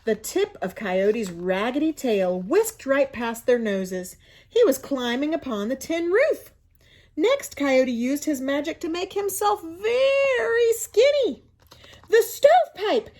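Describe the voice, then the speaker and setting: A woman reading a storybook aloud to children in an expressive, teacherly way, pausing to explain and ask questions. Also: sits high. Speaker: school media coordinator reading to children.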